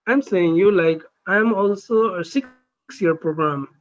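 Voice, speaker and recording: A man who is a neurosurgery trainee, chatting on a video call and asking halting, filler-heavy questions. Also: high-pitched, muffled recording. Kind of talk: casual video call chat.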